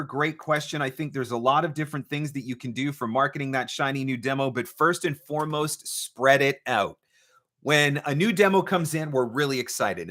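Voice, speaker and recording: A man with a bright, forceful voice of middling pitch hosts a live advice session. He talks conversationally as a coach would, explaining step by step and stressing his main points by repeating them. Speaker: host, voice-over marketing coach.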